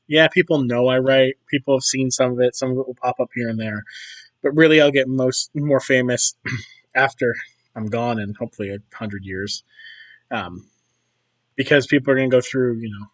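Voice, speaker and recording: Hoarse man rambling over gameplay. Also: medium pitch, poor audio. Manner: rambling, chatty, frequent throat clearing. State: losing his voice, hoarse. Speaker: gaming YouTuber. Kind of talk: casual commentary over gameplay.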